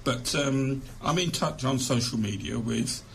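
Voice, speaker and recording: A man's voice: mid-range voice, measured pace, gravelly, slight background noise. A man being interviewed as an author, chatting conversationally with self-deprecating humour.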